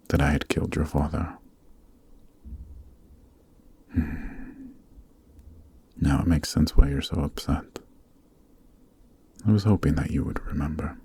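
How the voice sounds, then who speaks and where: A man playing a noble, gentle demon king in an ASMR roleplay, speaking in a measured, soothing near-whisper. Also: rumbling low, breathy edge, heavily acted. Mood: gentle, concerned. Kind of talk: ASMR roleplay, soft dramatic acting.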